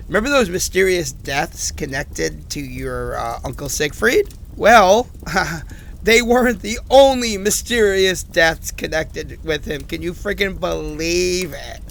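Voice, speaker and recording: A man's voice: on the high side, slow pace, creaky, a bit bright, full character voice. A man acting a frightened, exasperated man who records rambling personal messages in a haunted-house audio drama. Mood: incredulous, excited. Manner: conversational, rambling, exasperated, incredulous.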